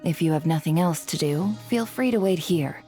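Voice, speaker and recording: Low-voiced, sly woman information broker played by an actress. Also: moderate pace, dark tone, soft background music, lightly acted. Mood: smooth, calculating. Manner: composed, coy, insinuating. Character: shrewd, sly female intelligence broker, coolly polite. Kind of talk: video game character dubbing.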